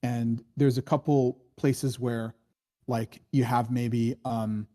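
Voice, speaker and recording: Mellow-voiced man, rambling and hesitant. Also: medium pitch, level tone, takes it slowly. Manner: rambling, hesitant, hedging with many false starts. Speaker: screenwriting workshop critic giving script notes. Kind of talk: casual spoken feedback on a screenplay.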